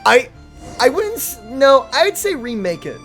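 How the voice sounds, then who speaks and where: A man's voice: very high voice, wildly swooping pitch, a little slow, a bit bright, soft background music. A man giving casual, playful let's play commentary, thinking aloud in an informal way.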